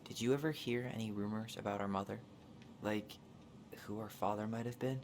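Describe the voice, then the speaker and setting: A man's voice: lowish voice, steady tone, brisk, slight background noise, natural voice. An actor playing an earnest, probing young man, direct and reserved in naturalistic video game dialogue.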